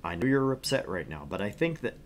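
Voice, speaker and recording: A man's voice: fairly low pitch, very animated, fairly quick. A man co-hosting a gaming stream, reading a visual novel aloud with casual asides.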